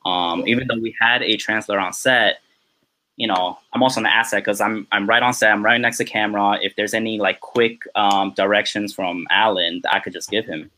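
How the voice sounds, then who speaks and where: Bright-voiced man in rambling chat. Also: fairly low pitch, fairly even intonation, brisk, rough recording. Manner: rambling, full of "you know" and "um" fillers. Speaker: podcast guest, film assistant director. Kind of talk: casual interview chat.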